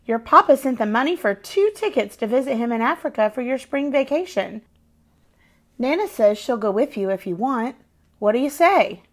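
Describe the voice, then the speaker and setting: A woman's voice: on the high side, animated, normal speed. A woman voicing a firm but caring mother in a children's storybook, emphatic and matter-of-fact. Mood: excited.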